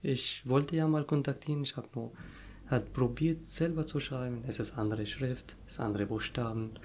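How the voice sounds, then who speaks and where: A young man whose first language is Arabic, telling his story in an interview, pausing often to search for words. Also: mid-range voice, steady tone, a little mellow, a little hiss or hum. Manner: halting, searching for words, frequent fillers. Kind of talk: casual personal story.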